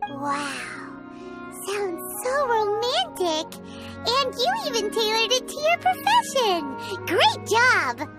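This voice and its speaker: A woman voicing a tiny, excitable, childlike fairy companion in a video game, exclaiming as she talks. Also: extremely high pitch, takes it slowly, loud music behind the voice, full character voice. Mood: excited. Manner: exclaiming, refers to herself by name. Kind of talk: video game character acting.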